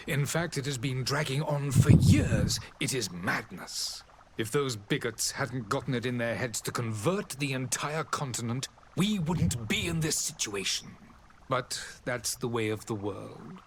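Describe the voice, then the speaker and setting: Proud fancy ambassador in an rpg, formal and polite, really lively pitch, faint noise behind. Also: male voice. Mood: weary, resolute. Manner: formal, measured, eloquent. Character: dignified male diplomat of a foreign alliance, proud and courteous. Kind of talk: formal role-playing game dialogue.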